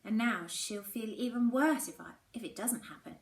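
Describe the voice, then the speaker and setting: A woman's voice: on the high side, normal speed. Roomy recording of a woman reading aloud. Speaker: primary school teacher reading a novel aloud. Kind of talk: expressive storybook read-aloud with acted dialogue. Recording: roomy echo with faint background noise.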